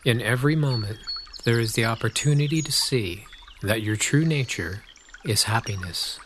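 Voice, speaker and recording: A man narrating a guided meditation, calm and unhurried, speaking in short scripted phrases with long pauses. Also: mid-range voice. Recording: clear nature-sound noise under voice. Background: birdsong and pouring water.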